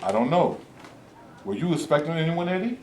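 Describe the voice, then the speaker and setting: A man reading the part of a reverend, measured and earnest. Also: a little high, lively intonation, darkish tone, close to an everyday voice. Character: principled cautious male reverend leader. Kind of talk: staged reading. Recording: echoey room, noisy.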